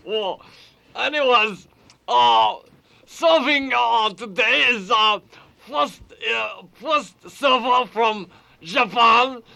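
A bumbling radio correspondent in a comedy sketch, with a very high, bright, gravelly voice. He talks slowly and haltingly, stuffing his lines with "uh" fillers and groan-worthy puns. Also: exaggerated voice. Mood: goofy.

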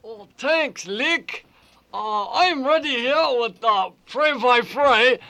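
A man voicing a bumbling male radio correspondent in a comedy sketch, halting and full of "uh" fillers and corny puns. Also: extremely high pitch, animated, sharp tone, exaggerated voice. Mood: goofy.

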